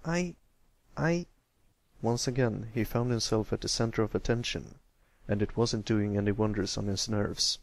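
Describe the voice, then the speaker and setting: A man's voice: on the low side, little pitch movement, moderate pace, vocal fry. A man reading a story aloud as an amateur narrator, in a scripted storybook style. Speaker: amateur fan fiction reader.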